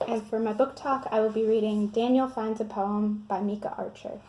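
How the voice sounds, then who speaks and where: A woman's voice: fairly high pitch, steady tone, moderate pace, a little mellow. A woman giving a scripted book talk and reading a picture book aloud. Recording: roomy echo, faint background noise.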